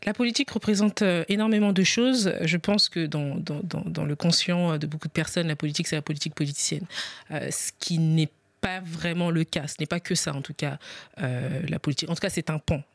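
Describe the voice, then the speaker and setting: A man's voice: on the high side, a little fast, gravelly, dry recording. A man answering interview questions as a podcast guest, reflective and formal, hesitating and restarting as he searches for careful wording. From family origin Republic of the Congo. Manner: hesitant, formal, searching for words with repeated starts. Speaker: podcast guest, parliamentary adviser.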